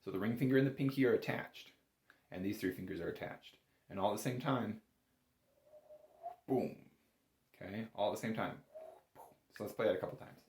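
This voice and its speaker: A man's voice: fairly low pitch, brisk, pauses often, a little mellow, reverberant. A man giving a casual, step-by-step music lesson as an instructor, in an informal, reassuring and encouraging manner. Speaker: bagpipe instructor.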